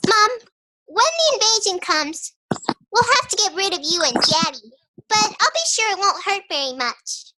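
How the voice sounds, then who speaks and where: A woman's voice: very high voice, very animated, normal speed, sharp tone, full character voice, rough recording. A woman playing a blunt, cheerful, matter-of-fact young girl in a radio play. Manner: childlike, blunt.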